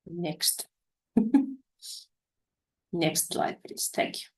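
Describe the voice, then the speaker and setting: A woman presenting study opportunities over a webinar, halting, with many fillers and restarts. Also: on the low side, lively intonation, darkish tone, roomy sound, faint music behind.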